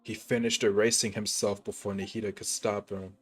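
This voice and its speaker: A man with a very low voice plays through a game on stream and mutters casual asides full of fillers and slang. Faint game music runs underneath his talk. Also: little pitch movement, brisk, breathy edge. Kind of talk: casual gaming commentary.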